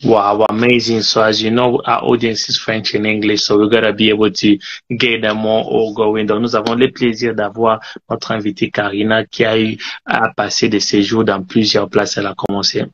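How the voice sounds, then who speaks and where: A man's voice: on the low side, flat delivery, brisk, a bit bright. A man hosting a casual interview show, upbeat, switching between English and French. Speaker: bilingual host. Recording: dull lo-fi sound.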